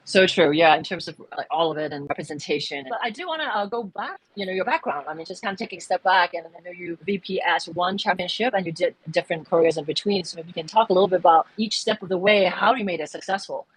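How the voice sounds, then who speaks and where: A woman hosting a podcast, asking loose, rambling questions in a casual, quick way. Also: lowish voice, slightly rough, dry recording, rough recording. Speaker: podcast host.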